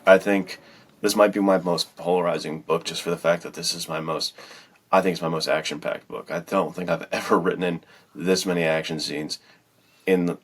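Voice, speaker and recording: A man's voice: low-pitched, steady tone, normal speed. A man co-hosting a podcast as an author, chatting in an informal, enthusiastic way. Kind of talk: casual chat.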